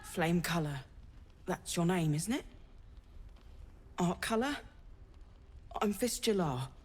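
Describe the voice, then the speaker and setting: A woman voicing a kindly, earnest healer in a fantasy game, gentle and plain-spoken. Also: on the low side, lively intonation, takes it slowly, husky, full character voice. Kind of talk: dramatic video game acting.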